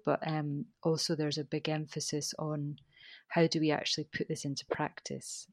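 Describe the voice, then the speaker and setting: A woman's voice: low-pitched, no room sound. A woman with a Scottish accent, a teacher trainer answering interview questions in a thoughtful way, restarting her sentences often.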